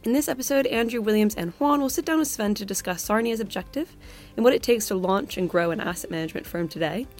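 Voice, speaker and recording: A woman opens a podcast with a bright, scripted introduction. Her pitch is mid-range, and soft music plays faintly underneath her voice. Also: fast. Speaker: podcast host introducing the episode.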